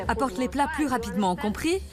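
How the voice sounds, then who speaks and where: A bossy restaurant co-owner with a Mexican accent barks curt orders, voiced by a female dub actress. Her voice sits fairly high. Clear music plays beneath. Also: animated, fairly quick, close to an everyday voice. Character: bossy middle-aged woman restaurant co-owner. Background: music bed.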